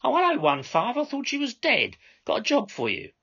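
A man's voice: extremely high pitch, wildly swooping pitch, brisk, fairly bright tone, slightly rough, muffled recording, voice pushed up, exaggerated voice. A man voicing a brash, bossy female minicab controller in a story, with insincere courtesy. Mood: bossy, exasperated. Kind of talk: storybook character dialogue.

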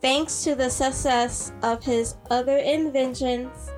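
Woman w high voice reading a podcast script slowly, music faintly behind. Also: fairly even intonation. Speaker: podcast segment presenter. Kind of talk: scripted narration of a history segment.

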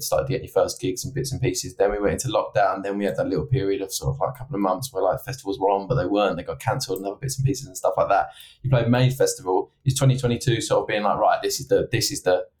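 A man's voice: low voice, steady tone, racing, few pauses, a little mellow, low-quality recording. A man from Essex hosting a podcast, rambling and swearing casually as he asks questions. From Essex, England. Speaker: podcast host.

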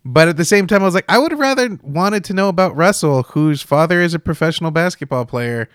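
A man's voice: on the high side, lively intonation, fast talker, fairly bright tone, clean tone, dry recording, clean studio sound. A man co-hosting a casual film discussion, talking informally and hesitantly with a wry manner. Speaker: podcast co-host.

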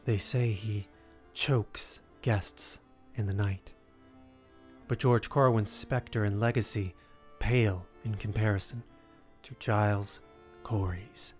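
A man narrating scripted history as a measured, dramatic storyteller. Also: on the low side, steady tone, darkish tone. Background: music.

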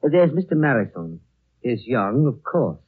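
An actor playing a courteous, discreet elderly lama with formal, measured speech, in 1930s radio drama style. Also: male voice, medium pitch, big pitch swings, breathy edge. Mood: polite, guarded. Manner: formal, measured, politely evasive. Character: courteous, discreet lama aged over a hundred, looking younger. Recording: thin, narrow old 1930s radio recording.

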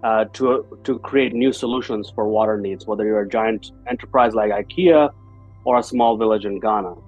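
A man's voice: on the low side, normal speed, darkish tone, lo-fi sound, faint music behind. A man in his forties from Bangladesh, a guest entrepreneur talking in an earnest, reflective way, with many fillers. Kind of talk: conversational interview.

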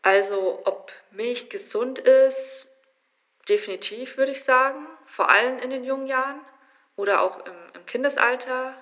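A woman's voice: mid-range voice, fairly bright tone. The sound is dull and lo-fi, like a call. A young woman with a mid-range voice explains things informally in an interview. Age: young adult. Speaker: student being interviewed as expert.

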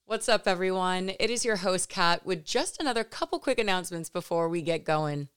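A woman's voice: fairly low pitch, expressive, a little fast, clean tone, no echo, clean studio sound, faint music behind. A woman from California hosting a podcast interview, chatty and upbeat with frequent restarts. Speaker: podcast host.

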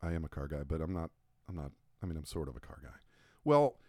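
A man's voice: very deep voice, very animated, fast, dark tone, no room sound, studio quality. A man co-hosting a casual podcast chat, rambling and self-mocking as he tells stories. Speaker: podcast co-host and logo designer.

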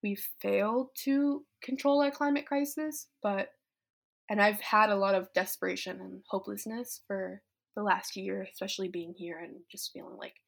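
A woman's voice: mid-range voice, fairly even intonation, moderate pace, gravelly. A fifteen-year-old young woman from Vietnam reflects casually and candidly on her life, thoughtful, often dropping in "like" and "you know". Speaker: teenage climate activist.

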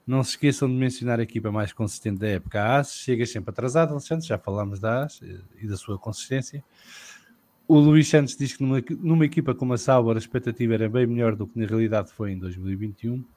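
A man hosting a podcast, reading listener messages in a brisk, matter-of-fact way. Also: mid-range voice, mellow. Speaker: podcast host.

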